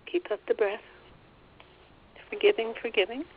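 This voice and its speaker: A woman leading a guided meditation, relaying a guide's words in a gentle, soothing way and often repeating phrases. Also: a little high, moderate pace, breathy edge, phone-quality sound. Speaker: spiritual teacher leading a meditation.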